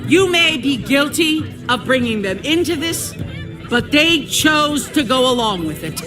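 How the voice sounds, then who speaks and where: An actress playing a cold, ruthless survivor town leader in a video game, theatrical, menacing and mock-sincere. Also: female voice, sits high, sing-song, unhurried, music in the background, crowd noise. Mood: stern, vindictive. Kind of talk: dramatic video game acting.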